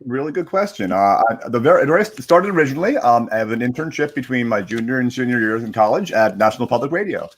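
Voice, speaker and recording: A man's voice: mid-range voice, lively intonation, fast talker, hardly any pauses, slight background noise. A man in his forties from Pennsylvania with an American accent, talking casually as an interview guest. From Pennsylvania, United States. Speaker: guest, social media strategist.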